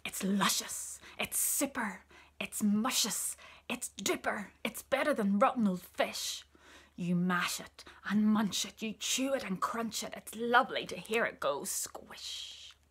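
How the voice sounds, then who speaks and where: A woman voicing an enormous male crocodile in a children's story, sly and menacing, drawing out words with greedy relish and breathy hisses. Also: medium pitch, takes it slowly, fairly bright tone, exaggerated voice. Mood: sly delight.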